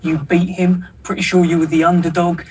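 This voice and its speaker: A man's voice: high voice, flat delivery, brisk, a little mellow. A man interviewing boxers, asking probing, direct questions. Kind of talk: sports interview. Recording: distant mic with faint noise.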